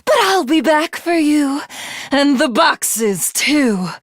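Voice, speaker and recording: A woman's voice: sits high, expressive, slow pace, no room sound, studio quality. A woman voicing a haughty, ruthless alien villainess in a video game, with a commanding, imperious and grandiose delivery. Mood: furious, megalomaniacal.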